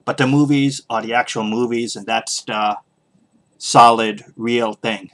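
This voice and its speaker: Man thinking aloud in halting podcast talk. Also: mid-range voice, steady tone, fairly bright tone. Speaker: podcast host. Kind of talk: casual solo opinion talk.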